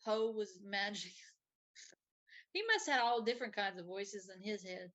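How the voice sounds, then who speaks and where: Female live show host, bright tone, mid pitch, informal and a bit rambling. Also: steady tone, moderate pace. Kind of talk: casual chat, reading out viewer comments.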